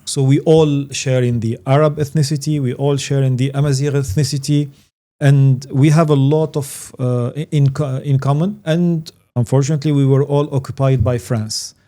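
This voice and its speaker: Earnest Tunisian man with a warm, dark voice. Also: medium pitch, steady tone, normal speed. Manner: earnest, emphatic, repeats key words. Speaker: guest, branding consultant. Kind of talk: conversational interview answers.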